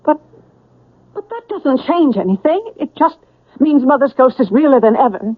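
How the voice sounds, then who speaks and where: An actress in a radio drama playing a fragile, frightened, haunted young woman with a pleading, halting manner. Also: high-pitched, very animated, no room sound. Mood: confused, fearful. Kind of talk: 1970s radio drama acting. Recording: thin, lo-fi old radio broadcast.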